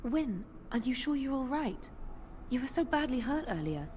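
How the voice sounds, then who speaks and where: Young apprentice mage, worried, high voice, fantasy game. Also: female voice, very animated, moderate pace, a little mellow, slight background noise.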